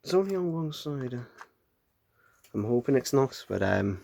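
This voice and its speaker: A British man with a croaky voice from hay fever, chatting casually as he unboxes and rambles with lots of fillers and restarts. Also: medium pitch, sing-song, a little slow, mellow, dry recording. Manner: rambling, filler-heavy, self-correcting. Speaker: unboxing vlogger. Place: room at home with window open.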